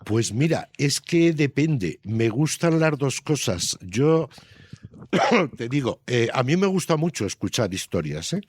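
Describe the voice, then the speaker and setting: A man's voice: medium pitch, very animated, unhurried, husky, no room sound. An older man with a Castilian Spanish accent answers interview questions as a children's author, explaining things conversationally with frequent tag questions.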